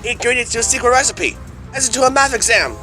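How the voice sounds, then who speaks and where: Male actor as excitable cartoon gator agent, earnest and dramatic, very animated delivery over prominent music. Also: very high-pitched, fast, heavily acted. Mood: alarmed. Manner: dramatic, urgent warnings that swing into grave explanation. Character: earnest, excitable, by-the-book alligator secret agent. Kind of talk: animated comedy fandub acting.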